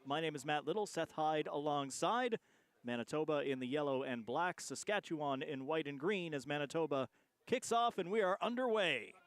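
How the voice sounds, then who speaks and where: Higher-pitched male rugby play-by-play commentator. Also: lively intonation, moderate pace. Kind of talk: live sports commentary.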